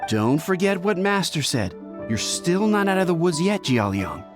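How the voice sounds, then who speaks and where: A dutiful young pharmacy assistant from a video game. His voice is slightly high and very animated, courteous but firm, over clear music. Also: normal speed. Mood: firm advice. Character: polite, dutiful young male apprentice at a pharmacy.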